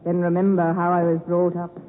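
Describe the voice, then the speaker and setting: A woman's voice: lowish voice, completely flat delivery, a little fast, lightly acted. A woman playing a cold, proud, aloof young lady in 1950s radio drama style, on a tinny, narrow broadcast recording. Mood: cool, detached.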